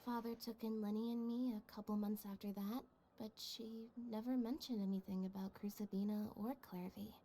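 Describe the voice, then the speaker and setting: An actress playing a calm, reserved young woman in a video game, speaking in an even, understated way. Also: mid-range voice, normal speed, few pauses, a little hiss or hum, natural voice. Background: game soundtrack music.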